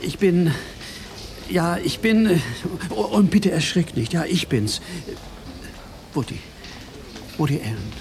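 A stammering, panicky comedian. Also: male voice, sits high, big pitch swings, slow pace, gravelly, long pauses, a little mellow, heavily acted. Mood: nervous. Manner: stammering, anxious, pleading. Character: neurotic stammering comedian trapped in a bodybuilder's body. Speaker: actor in a radio play. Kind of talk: 1980s German radio play acting. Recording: faint tape hiss.

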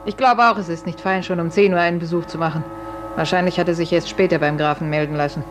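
Actress doing a motherly older confidante, lowish voice, old radio drama, clear music behind. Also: fairly even intonation, quick pace, close to an everyday voice. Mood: soothing. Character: motherly, soothing confidante, sensible older woman. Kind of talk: 1950s German radio play acting.

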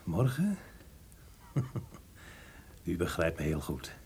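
An actor playing a sly, obsequious mercenary soldier in theatrical radio drama, with a husky voice and formal, deferential speech. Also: male voice, low-pitched, huge pitch swings, very slow, a little mellow, heavily acted. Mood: sly, willing.

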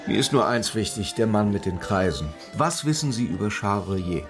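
An actor playing a brooding, dreamy police commissioner in a German radio crime drama, musing and quietly wry. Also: male voice, lowish voice, expressive, normal speed, over music, close to an everyday voice. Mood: wry, evasive.

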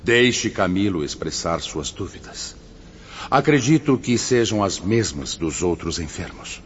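Deep, solemn spirit mentor in reverberant hall. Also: male voice, expressive, a little slow. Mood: firm, instructive. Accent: Brazilian. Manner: solemn, declamatory. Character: solemn, authoritative male spirit mentor leading an assembly. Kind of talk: radio drama acting, oratorical sermon-like address. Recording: muffled, noisy, long hall reverb.

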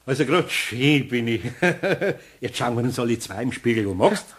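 Husky, lively older Bavarian craftsman. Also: medium pitch, normal speed. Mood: amused, protesting. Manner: flustered, coaxing, repeating little phrases. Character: good-natured, fussing older Bavarian craftsman. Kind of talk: children's radio play acting.

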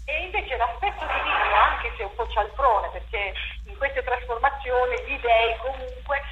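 A woman playwright and director giving an interview about her stage play, talking in an animated way and restarting her sentences often as she thinks aloud. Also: high-pitched, moderate pace, muffled recording. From Italy. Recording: echoey room with faint noise.

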